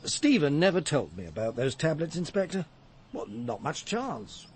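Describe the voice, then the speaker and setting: Animated, fairly high-voiced country doctor. He is blustery and easily flustered, halting and excitable as a radio play character. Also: slight background noise. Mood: uneasy. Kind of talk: radio drama acting.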